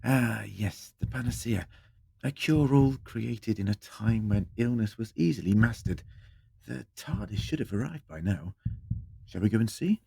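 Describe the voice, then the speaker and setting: An actor in an audio drama playing an ancient, weary, eccentric time-travelling Doctor with a dry, hesitant, theatrical delivery. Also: male voice, on the low side, lively intonation, a little slow, gravelly, dark tone, breathy edge, no echo, heavily acted. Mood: brisk.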